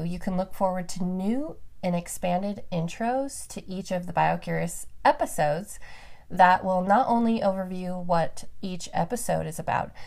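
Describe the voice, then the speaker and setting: Stuffy-nosed woman podcast host, gravelly voice. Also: fairly low pitch, darkish tone. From Nevada, USA. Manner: upbeat and enthusiastic. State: stuffed up from allergies. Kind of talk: solo podcast talk.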